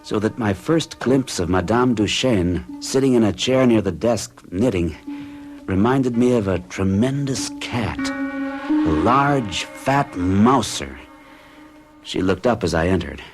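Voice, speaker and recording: A young man recounts his unsettling tale in a low, expressive voice that climbs and falls widely. He sounds earnest and a little shaken, with soft music beneath him. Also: takes it slowly. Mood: recounting. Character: young man troubled by strange events. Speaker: narrator and patient. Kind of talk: 1970s radio drama acting, narrating.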